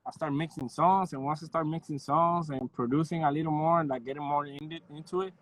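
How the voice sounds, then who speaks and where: A man in his thirties from Puerto Rico, a guest audio engineer chatting in a relaxed, modest way with soft laughs and lots of "you know". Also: a little high, fairly quick, no room sound, rough recording. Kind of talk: casual interview chat.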